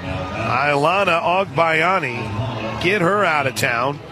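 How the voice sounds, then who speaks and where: An American man doing live radio play-by-play commentary of a softball game. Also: a little high, expressive, slow pace. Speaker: radio play-by-play sports announcer. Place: outdoor stadium broadcast position. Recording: crowd noise and music behind commentary. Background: stadium crowd and music.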